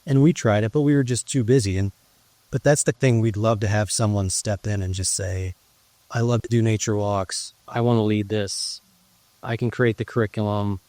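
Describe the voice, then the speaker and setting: Man, interview guest, earnest, halting, low pitch, mellow tone. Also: steady tone, no echo. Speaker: guest, urban farmer and ministry leader. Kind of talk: conversational interview.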